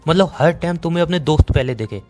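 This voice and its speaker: A man's voice: on the high side, animated, a little mellow, soft background music, natural voice. A man with an Indian accent playing a sarcastic, dismissive young boyfriend in a phone-call romance drama. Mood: resentful. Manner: sarcastic, dismissive, rapid-fire. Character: bitter, sarcastic young boyfriend fed up with his girlfriend.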